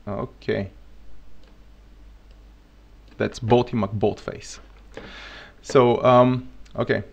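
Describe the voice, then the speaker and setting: A man with a Bulgarian accent, a native Bulgarian speaker, teaching programming on a live coding stream. He thinks aloud as he works and jokes in a relaxed way, speaking into a desk mic at his computer. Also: mid-range voice, extremely slow, vocal fry, husky, muffled recording.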